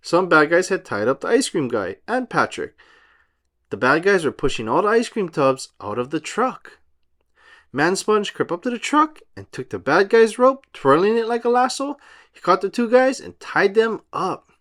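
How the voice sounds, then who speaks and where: A man reading a storybook aloud for children in an animated style. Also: high-pitched, normal speed.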